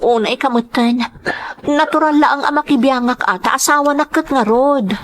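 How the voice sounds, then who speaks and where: A woman's voice: high-pitched, normal speed, natural voice. A woman with an Ilocano accent acting a distressed young married daughter in an audio drama, speaking in an earnest, emotional way. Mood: upset, reproachful.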